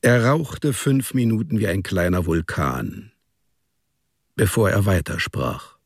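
An actor playing a calm storyteller, narrating a detective tale in literary style for a German radio play. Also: male voice, low voice, takes it slowly, no room sound, clean studio sound, exaggerated voice. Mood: calm, measured.

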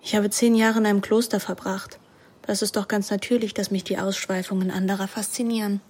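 A woman's voice: medium pitch, level tone, fairly quick, a little mellow, slightly rough, lightly acted. An actress playing a restless, detached young woman who narrates and speaks in a radio drama in a composed, reflective way. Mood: cool. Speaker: lead actress and first-person narrator.

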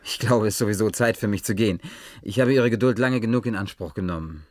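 A man's voice: fairly low pitch, fast, natural voice. An actor playing a polite, earnest young man in a German radio play, courteous and inquiring with slight hesitation.

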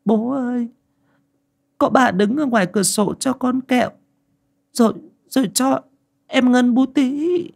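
A man's voice: very high voice, measured pace, creaky, long pauses, a little mellow, pitched above the performer's own voice, heavily acted. A man voicing a frightened little girl who stammers and whimpers, in a storybook reading. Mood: whimpering.

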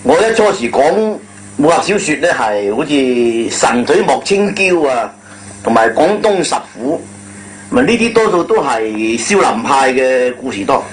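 A man's voice: medium pitch, very animated, measured pace, poor audio. A man, a storyteller and panel guest, putting questions in a discursive, informal conversational way. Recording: old radio recording, faint hum.

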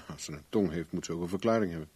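An actor playing a shrewd private investigator in a radio play, polite but dryly sceptical and probing. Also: male voice, sits low, rapid-fire, a little mellow, breathy edge. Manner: dry, questioning, polite.

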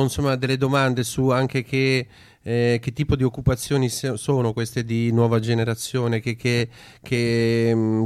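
A man's voice: medium pitch, smooth, no room sound, clean studio sound. A man hosting an informal political radio chat, musing tentatively as he goes. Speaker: radio host.

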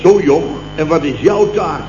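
An actor playing a blustering, sarcastic boss of a building firm in a vintage radio comedy, repeating himself indignantly. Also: male voice, on the high side, a little slow, close to an everyday voice. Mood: sarcastic outrage. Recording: muffled, noisy, echoey old radio recording.

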